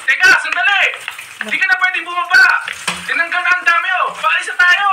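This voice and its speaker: A Filipino man playing a kind, easygoing and generous hacienda gentleman in a radio drama, reassuring and friendly. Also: very high-pitched, steady tone, brisk. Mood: urgent. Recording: very noisy radio drama recording.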